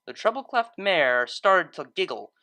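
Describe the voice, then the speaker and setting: A narrator reading a scripted story aloud in an expressive storytelling manner. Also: medium pitch, a little slow. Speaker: audiobook-style fan fiction reader.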